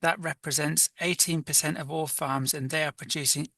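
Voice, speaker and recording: A man, a magazine editor, answers interview questions in a measured, thoughtful way, often pausing on "uh" and "um". His voice is bright and forward. The recording is dry and close, with almost no room sound. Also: a little high, fairly even intonation, no room sound. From Wales. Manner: thoughtful, measured, with frequent fillers.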